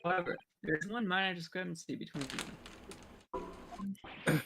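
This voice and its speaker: Gravelly voice reading courtroom game dialogue. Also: mid-range voice, fairly even intonation, normal speed, a bit bright, a little hiss or hum. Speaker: player voicing a defense lawyer in a fan dub. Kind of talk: group game playthrough, acting out dialogue lines. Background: game music.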